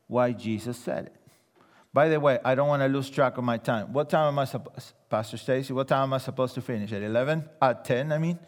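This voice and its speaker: A man from Argentina with an Argentine Spanish accent, preaching a sermon and telling humorous stories, dropping his voice low for the punchlines. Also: long reverb tail. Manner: animated storyteller, drops voice low for punchlines. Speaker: guest preacher.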